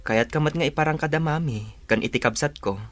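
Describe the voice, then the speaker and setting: A man's voice: fairly high pitch, brisk, a bit bright, no echo, lightly acted. A man playing a devoted young suitor in an audio drama, earnest, reassuring and persuasive. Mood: coaxing. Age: young adult.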